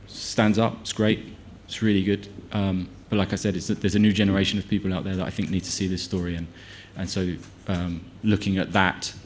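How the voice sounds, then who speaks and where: A man's voice: low voice, level tone, a little fast, few pauses, slight background noise. A film director answering at a press conference, with many restarts and fillers as he gathers his thoughts.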